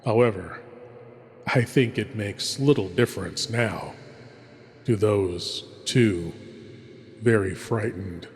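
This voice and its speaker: A man hosting a horror radio show with theatrical scripted narration, mock-solemn, with dramatic deliberate pauses. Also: on the low side. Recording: long cavernous reverb on voice.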